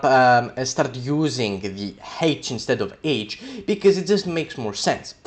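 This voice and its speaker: A young man, a native Greek speaker, explaining letters and sounds in a loose, rambling way, with many ums and uhs. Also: medium pitch. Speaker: video creator explaining letters. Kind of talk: casual educational talk.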